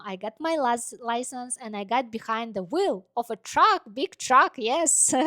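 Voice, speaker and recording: A woman from Kazakhstan, telling her life story as a vlogger in halting full of "like" fillers. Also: fairly high pitch, no echo. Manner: halting non-native English, many "like" fillers. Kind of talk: personal life story monologue.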